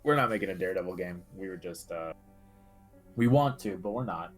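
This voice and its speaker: Deep-voiced man in casual gaming chat. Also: animated, fairly quick, faint music behind. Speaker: podcast co-host. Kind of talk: casual chat about video games.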